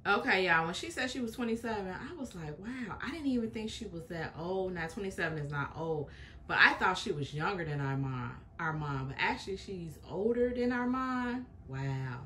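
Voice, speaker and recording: A woman's voice: fairly low pitch, animated, moderate pace, bright, forceful tone. A young woman hosting a reaction video, chatting frankly and opinionated to her viewers, with in her speech. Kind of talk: casual reaction commentary.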